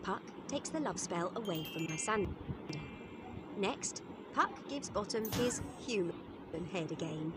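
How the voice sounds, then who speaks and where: A woman narrating a simple story in a clear, expressive, scripted storybook way. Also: mid-range voice, unhurried, very noisy. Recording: music under the voice. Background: music.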